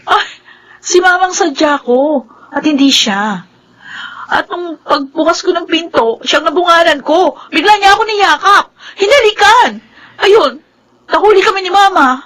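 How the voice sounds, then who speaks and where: An actress playing a defiant, bitter elder sister in a Filipino radio drama, with a Filipino accent. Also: female voice, extremely high pitch, expressive, measured pace, fairly bright tone, full character voice, rough recording. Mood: indignant, then tearful.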